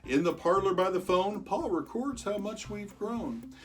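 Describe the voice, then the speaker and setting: A storyteller reading a rhyming picture book aloud to children in an expressive way, stretching words for emphasis and chatting with young listeners. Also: medium pitch, a little slow. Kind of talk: animated children's read-aloud of rhyming verse.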